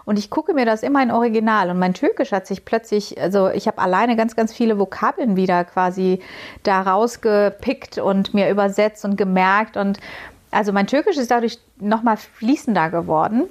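A woman with a mid-range voice whose first language is Azerbaijani talks on a podcast. She is a cabin chief and recruiting expert, and she rambles in a relaxed way, filling pauses with hesitations. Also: medium pitch. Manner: talkative, rambling, many fillers. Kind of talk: casual podcast conversation.

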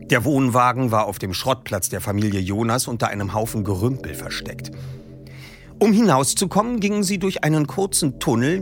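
A man hosting a podcast, reading passages from a book in a lively storytelling way. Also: mid-range voice. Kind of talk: audiobook reading and podcast presenting.

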